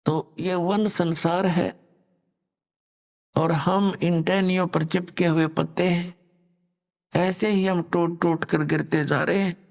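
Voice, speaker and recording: A man preaching a devotional discourse and reciting couplets in a slow, drawn-out style. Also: high-pitched, fairly even intonation, vocal fry, big-room sound.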